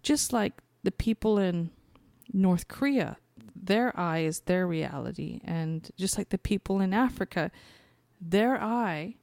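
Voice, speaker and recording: A woman's voice: medium pitch, vocal fry, dark tone, dry recording, studio quality. A woman podcast host talking in a casual, rambling spiritual monologue, conversational and thinking aloud as she searches for her words.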